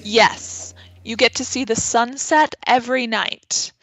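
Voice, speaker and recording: A woman playing a determined, dramatic heroine in a comic horror audio drama, speaking deliberately. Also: fairly high pitch, steady tone, a bit bright, heavily acted, rough recording. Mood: negotiating.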